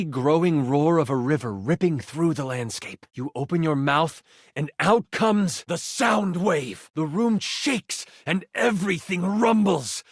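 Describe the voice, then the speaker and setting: An excitable male game master in a fantasy video game. He tells the adventure with theatrical flair and expressive asides. The voice sits slightly high and is recorded very dry and close. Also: breathy edge, dry recording, full character voice. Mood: dramatic, suspenseful. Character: excitable, dramatic male twenty-sided die as game master. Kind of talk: dramatic tabletop fantasy narration.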